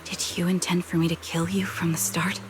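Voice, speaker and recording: An actress playing a resolute young sniper in a video game, with a calm, measured and formal delivery. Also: female voice, lowish voice, noisy background, close to an everyday voice. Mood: composed. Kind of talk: dramatic video game voice acting. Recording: music behind the voice.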